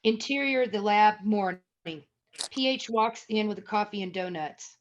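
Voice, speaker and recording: A woman's voice: medium pitch, normal speed, slight background noise. Woman narrating a script, dull lo-fi sound. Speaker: narrator reading stage directions. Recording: dull, lo-fi audio.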